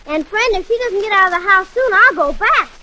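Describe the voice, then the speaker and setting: A woman's voice: extremely high pitch, expressive, fairly quick, background noise. A woman playing a cocky, wordy, wisecracking kid brother in a 1940s radio comedy. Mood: scheming. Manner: smart-alecky, wordy, formal phrasing. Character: cocky, wisecracking young boy, schemer, pesky kid brother. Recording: vintage 1940s radio recording.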